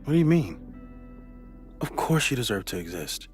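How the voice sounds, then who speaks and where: An actor playing an earnest, analytical and worried friend, gentle and thoughtful, in naturalistic video game dialogue. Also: male voice, medium pitch, very animated, moderate pace, faint music behind, lightly acted. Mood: worried, protective.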